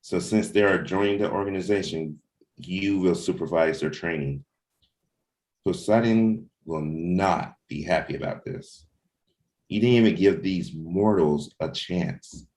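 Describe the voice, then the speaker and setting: A man's voice: deep voice, unhurried, a little mellow, reverberant. A man playing a stern, commanding superior in a comic-book table read.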